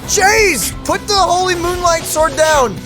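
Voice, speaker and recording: A man co-hosting a gaming channel, reacting to a game in an excitable, joking way. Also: very high-pitched, slow. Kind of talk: casual game reaction commentary. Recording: let's play audio over game music.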